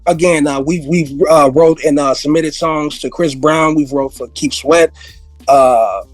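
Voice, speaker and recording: High-voiced hoarse man telling stories. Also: fairly even intonation, a little slow, dry recording, faint music behind. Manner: rambling storyteller who repeats himself and laughs often. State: hoarse, losing his voice. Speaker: guest, music industry veteran. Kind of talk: casual interview conversation.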